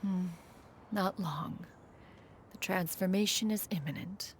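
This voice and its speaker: An actress playing a calm, aloof master in a video game, speaking in a measured, formal, detached manner. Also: female voice, fairly low pitch, a little hiss or hum. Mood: grave.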